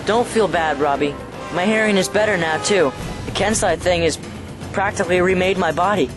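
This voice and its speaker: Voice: medium pitch, moderate pace, natural voice. Someone acting a possessed teen boy, needy then coldly menacing, audio drama with music all under it. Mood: menacing, taunting. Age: teenager. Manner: swings between needy pleading and cold taunting. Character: possessed teenage boy, menacing yet pleading with his mother.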